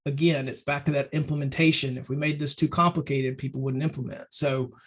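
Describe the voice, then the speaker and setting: A man presenting in a casual webinar, loose and conversational with many ums and restarts, his voice slightly hoarse. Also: medium pitch, fairly even intonation, brisk. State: slightly hoarse, clearing throat. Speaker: webinar presenter for a firm advisory program.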